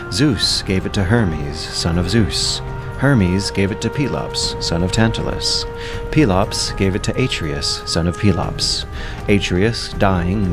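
A man with a very deep voice narrates an epic in a measured, solemn way. Loud orchestral music plays under him throughout, and he keeps a steady, grave delivery. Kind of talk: dramatic audiobook narration.

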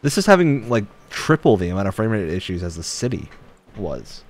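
A man with a fairly low voice talks casually as he streams a game. His remarks are critical and complaining. Faint game sound sits behind him. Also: brisk. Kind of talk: casual gameplay commentary. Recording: steady background game noise. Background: video game sounds.

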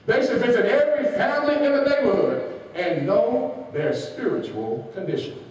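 A man's voice: extremely high pitch, lively intonation, normal speed, darkish tone. A man preaching a sermon, reading quotations with their page numbers and asking his congregation rhetorical questions that they answer. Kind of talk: sermon with call and response. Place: large church hall. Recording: echoey hall from far off.

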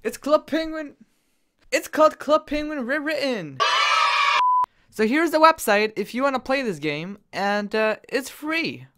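A young man casually commenting while he plays a game on his computer, excitable and jokey, jumping from loud bursts to quiet mumbles. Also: very high voice, measured pace, heavy background noise. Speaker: gaming YouTuber.

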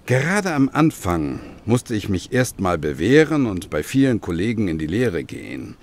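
A former detective with a very deep voice, looking back on a dangerous past. He tells it gravely and with measured drama, in the manner of a radio play. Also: very animated. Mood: composed, recounting. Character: John Douglas, grave former Pinkerton detective telling his past. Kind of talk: German radio play acting.

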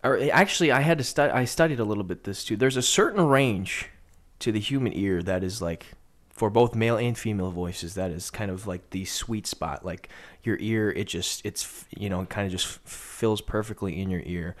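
Young male guest, lowish voice, thoughtful, studio mic on a video call. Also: normal speed. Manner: thoughtful, many fillers and restarts. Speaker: sports broadcaster guest. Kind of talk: casual interview chat. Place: home room. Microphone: studio condenser mic on video call.

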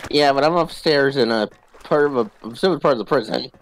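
A man's voice: medium pitch, a little fast, low-quality recording. A man chatting casually and jokingly with a friend while playing a co-op video game. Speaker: gamer playing co-op. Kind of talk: casual gaming chat. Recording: background game noise, ambience.

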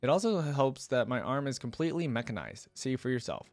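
A young man reading as a calm, eccentric inventor-scientist in a storybook, with formal, measured, precise phrasing. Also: mid-range voice, no room sound, faint music behind. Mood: calm, clinical.

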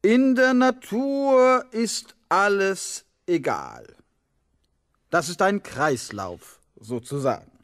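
Ponderous schoolmaster, 1970s radio play; high-pitched, drawn-out lecturing. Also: male voice, sing-song, fairly bright tone, no echo, studio quality, exaggerated voice. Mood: dreamy lecturing. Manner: ponderous, stretched words. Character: droning, long-winded male biology teacher. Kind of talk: 1970s German children's radio play acting.

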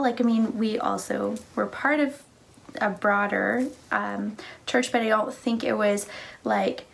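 A woman's voice: mid-range voice, vocal fry. A young woman from Canada and the United States talking casually about her family and faith on a living room couch, filling her speech with "like" and "right?". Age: young adult. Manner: chatty, lots of "like" and "right?". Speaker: church speaker.

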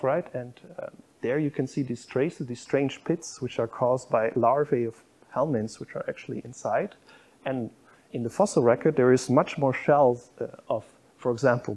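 Man lecturing, mellow husky voice. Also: mid-range voice. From Belgium. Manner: explanatory, pointing at slides, frequent fillers. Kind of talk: university public lecture. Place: lecture room.

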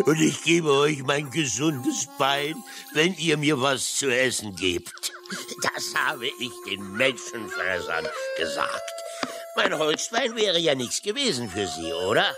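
Swooping, boisterous seafaring uncle. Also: male voice, mid-range voice, very animated, slow, over music. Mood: hearty, boastful. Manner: salty sailor exclamations, hearty storytelling. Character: boisterous, yarn-spinning old seafaring uncle with a wooden leg. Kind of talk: children's audio drama acting.

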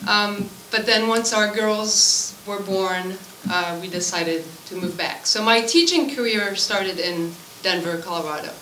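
A woman in her forties from Switzerland, whose first language is French, speaks informally as a teacher introducing herself. Her medium-pitched voice comes from some distance in an echoey meeting room. There is clear noise behind her. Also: measured pace, few pauses, bright, forceful tone. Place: school room at a committee meeting, indoors.

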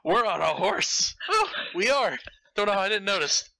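Bright, sharp-voiced man reading aloud theatrically. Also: high-pitched, very animated, normal speed, vocal fry, dry recording, rough recording. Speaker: host reading fan fiction aloud. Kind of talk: comedic dramatic read-aloud with commentary.

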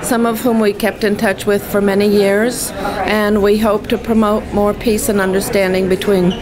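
A woman's voice: medium pitch, level tone, moderate pace, a crowd in the background, rough recording. An older woman giving a fluent, composed statement about her volunteer years. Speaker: interviewee. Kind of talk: prepared statement. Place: indoor conference venue.

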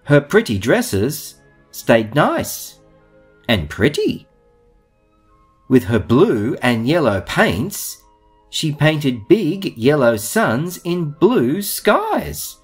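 Male children's book reader, unhurried, slightly husky, theatrical emphasis, highly expressive. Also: faint music behind. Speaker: storybook reader. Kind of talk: animated children's storybook reading.

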